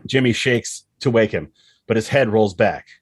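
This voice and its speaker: A man's voice: fairly low pitch, normal speed, breathy edge, dry recording. A man reading a screenplay's action lines as dramatic narration, expressively and in short punchy phrases. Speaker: screenplay reader.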